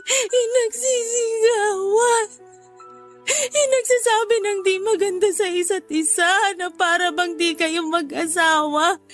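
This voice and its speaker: A woman's voice: very high voice, fairly even intonation, takes it slowly. A woman playing a weary, hurt young daughter in a Filipino radio drama, pleading emotionally and tearfully. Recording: faint music under the voice.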